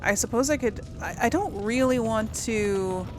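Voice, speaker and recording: A woman's voice: on the high side, normal speed, over music. A woman hosting a casual gaming let's play, thinking aloud in a hesitant, conversational way. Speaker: gaming video host.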